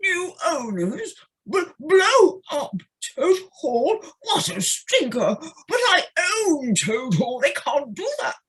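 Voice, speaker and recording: Woman as a high, melodramatic, vain toad. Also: big pitch swings, takes it slowly, exaggerated voice. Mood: indignant. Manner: melodramatic, blustering. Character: self-pitying, indignant, vain wealthy male toad. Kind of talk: amateur audio play acting.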